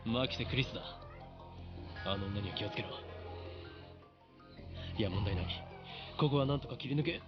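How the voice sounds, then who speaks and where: Man playing a paranoid young self-styled mad scientist, grandiose visual novel delivery, fast, over clear music. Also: on the low side, lo-fi sound, close to an everyday voice. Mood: conspiratorial. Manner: grandiose, melodramatic. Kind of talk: Japanese visual novel voice acting.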